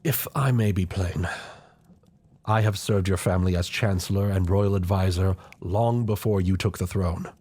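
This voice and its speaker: A man acts out an older chancellor of a fantasy royal court in an audio roleplay. His voice is low and somewhat rough-edged. He speaks with formal, deliberate courtesy, grave and loyal to the crown, with a scheming streak. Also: darkish tone. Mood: stern, warning. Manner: formal, deferential, measured courtly speech.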